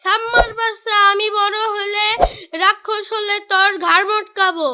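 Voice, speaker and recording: A woman's voice: very high-pitched, fairly even intonation, normal speed, sharp tone, full character voice. A woman voicing a cheeky, eager little ghost child in a comic cartoon, with a childish way of talking. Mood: indignant.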